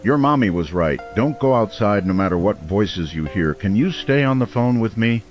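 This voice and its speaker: Weary male radio host played by an actor, low and somber, consoling, muffled broadcast sound with music underneath. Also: lively intonation, normal speed, close to an everyday voice. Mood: gentle, urgent. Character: weary doomed male late-night radio host, steady and caring. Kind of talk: radio drama, late-night call-in show monologue.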